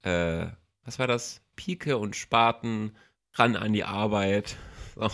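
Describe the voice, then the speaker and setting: Teasing young man with a deep voice. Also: slow, fairly bright tone. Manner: teasing, playful, jokey. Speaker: podcast co-host and editor. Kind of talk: casual chat.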